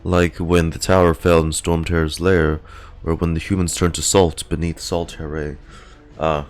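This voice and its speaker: A man's voice: low-pitched, little pitch movement, moderate pace, faint music behind. A man giving casual reaction commentary as a game streamer, thinking aloud with some hesitation.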